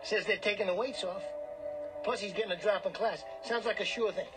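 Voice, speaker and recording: An actor playing a brash, cocky small-time hustler and gambler in a radio drama. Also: male voice, sits high, brisk, over music, close to an everyday voice. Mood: wheedling. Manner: wheedling, cocky, streetwise wise-guy talk.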